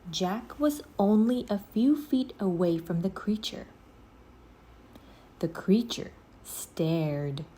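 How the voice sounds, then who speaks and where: Woman, children's book narration, lowish pitch, very slow pace, deliberate, dramatic phrasing, expressive. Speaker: storybook reader, English teacher.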